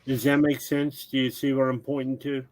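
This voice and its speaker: A man's voice: medium pitch, level tone, normal speed, a little mellow, a little hiss or hum. A man casually explaining tabletop game rules during play, in a helpful, instructive way. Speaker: guest game designer teaching the game.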